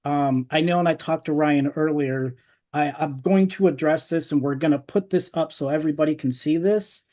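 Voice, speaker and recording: Casual male podcast host, slightly high voice. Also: warm tone. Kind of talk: casual podcast interview chat.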